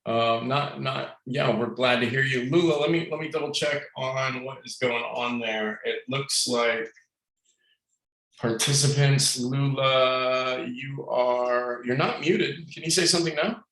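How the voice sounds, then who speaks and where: A man hosting a casual webinar, friendly and rambling, with quick asides. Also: mid-range voice, vocal fry, fairly bright tone, roomy sound, low-quality recording. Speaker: webinar host. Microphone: video call.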